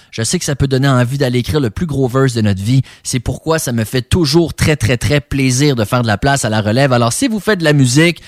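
A man's voice: mid-range voice, dry recording, studio quality. A man with a Québécois French accent casually hosting a rap radio show, speaking informally and repeating words for emphasis.

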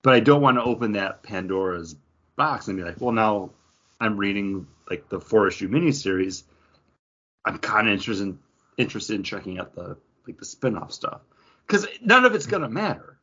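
Man, podcast co-host, rambling, lively swooping pitch, slightly husky, dull lo-fi audio. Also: moderate pace. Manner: rambling, animated, frequent "like" and restarts. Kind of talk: casual chat.

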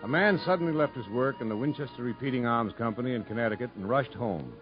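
An actor playing a grave but kindly prison captain, measured and straightforward, in a 1950s radio drama. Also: male voice, mid-range voice, faint music behind, natural voice. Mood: reflective. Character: grave, frank prison captain recalling a story, kindly toward children. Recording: muffled 1950s radio recording.

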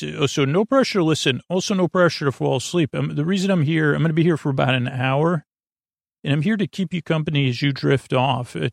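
A man hosting a sleep podcast in a meandering, halting monologue that keeps drifting off topic, with a gravelly, creaky voice. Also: medium pitch, no room sound, studio quality. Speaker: podcast host.

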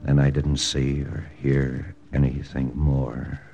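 An actor playing a hard-boiled army lieutenant, narrating in a clipped first-person style for a 1940s radio drama. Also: male voice, extremely low pitch, completely flat delivery, slow pace, creaky, dark tone, lo-fi sound, full character voice. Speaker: narrator and lead. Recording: old radio broadcast with music.

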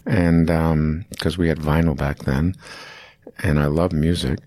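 A man's voice: very deep voice, fairly even intonation, unhurried, dark tone, dry recording, studio quality. A man in his fifties, an acting teacher, telling long stories in an interview, rambling with restarts and fillers. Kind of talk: casual interview storytelling.

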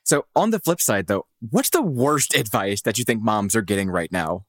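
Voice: mid-range voice, expressive, normal speed, no echo. Friendly interviewer with a dry, close sound. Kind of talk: interview questions.

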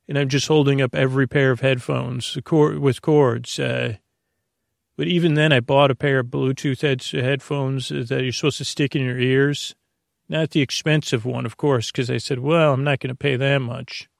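A man with a creaky voice hosting a bedtime podcast in a meandering, halting ramble that keeps interrupting itself and drifting off topic. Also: medium pitch, fairly even intonation, no room sound, clean studio sound. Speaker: podcast host.

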